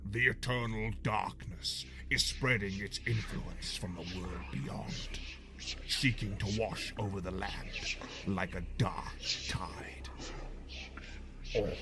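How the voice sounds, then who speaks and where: A bardic fantasy storyteller with a slow, theatrical delivery. He speaks in grand, archaic phrases like a dramatic trailer narrator, with music prominent behind him. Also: medium pitch. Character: theatrical fantasy storyteller, hearty greeter turned ominous doomsayer.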